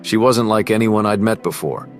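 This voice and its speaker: A man playing a cocky rich high school boy who is quietly smitten, narrating and speaking in a teen romance drama. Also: normal speed, soft background music, close to an everyday voice. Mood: brooding, reflective. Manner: smug, cool, guarded. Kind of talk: first-person narration and dialogue in a teen romance.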